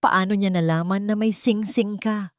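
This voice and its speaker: A woman voicing a hurt young woman who feels trapped, quiet and coldly disillusioned, in an audio love drama. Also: mid-range voice, steady tone, normal speed, dry recording, clean studio sound, natural voice. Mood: hushed, disbelieving. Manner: quiet, bitter.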